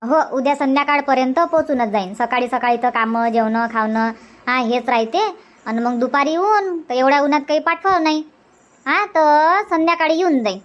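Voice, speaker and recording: A woman's voice: high-pitched, normal speed, close to an everyday voice. A woman voicing a bossy, hospitable middle-aged village aunt in a cartoon comedy, with a Varhadi Marathi accent and an insistent, repetitive way of talking. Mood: chatty. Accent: Varhadi Marathi from Vidarbha.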